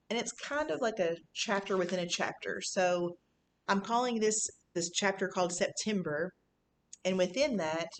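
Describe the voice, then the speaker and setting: A woman's voice: fairly low pitch, a little hiss or hum. A woman teacher introducing a read-aloud for a class in a plain, explanatory way. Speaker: fifth-grade teacher.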